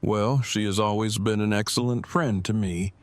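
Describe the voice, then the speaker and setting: A man's voice: on the low side, steady tone, normal speed, no echo, close to an everyday voice. An actor playing an earnest, long-winded nerdy man in an audio drama, with formal and precise wording. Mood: awkward, agreeable. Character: earnest, awkward, verbose nerdy man newly in love.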